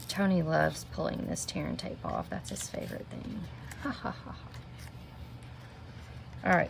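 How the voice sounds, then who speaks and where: A woman's voice: on the low side, gravelly. A middle-aged woman with a slight cold, chatting viewers through a craft project step by step and greeting them by name. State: sniffling with a minor cold. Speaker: stamping craft demonstrator. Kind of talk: live craft tutorial. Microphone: camera mic at desk. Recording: noticeable background noise.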